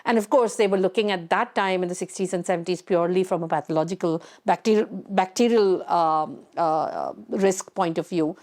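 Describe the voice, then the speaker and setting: A woman's voice: on the low side, normal speed, hardly any pauses, a little mellow. A woman hosting a conversational technical interview, inquisitive and thinking aloud as she frames her questions. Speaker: interviewer, host.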